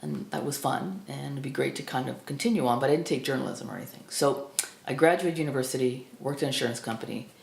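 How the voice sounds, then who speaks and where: A woman in her fifties from Canada, whose first language was Estonian, recalling her life in a reflective, halting way with many restarts. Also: a bass voice, gravelly, a little mellow. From Burlington, Ontario, Canada. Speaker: film critic guest. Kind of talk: reflective personal storytelling. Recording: echoey room.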